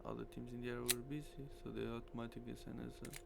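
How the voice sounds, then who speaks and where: A man's voice: medium pitch, little pitch movement, very fast. A man playing a matter-of-fact police squad teammate in a visual novel. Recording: clearly noisy stream with hum.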